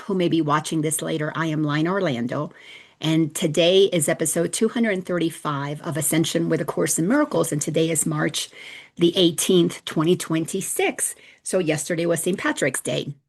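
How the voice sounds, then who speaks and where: A woman's voice: deep voice, moderate pace. A middle-aged woman from the Dominican Republic hosting a casual spiritual teaching talk, chatty and storytelling, often restarting her sentences. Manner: chatty, warm-hearted storytelling with frequent restarts.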